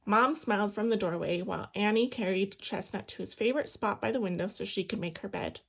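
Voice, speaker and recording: A woman reading a children's picture book aloud in an expressive storytelling style. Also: mid-range voice, a little fast, vocal fry. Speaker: storybook reader.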